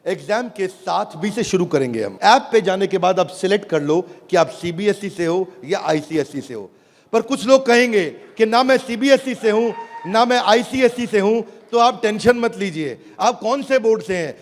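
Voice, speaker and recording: High-pitched man hyping up a crowd. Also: animated, normal speed. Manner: emphatic, hyped, crowd-rousing with call-and-response. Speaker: motivational business speaker launching an app. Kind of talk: energetic stage keynote. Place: large auditorium with a live audience. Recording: reverberant hall, crowd and noise.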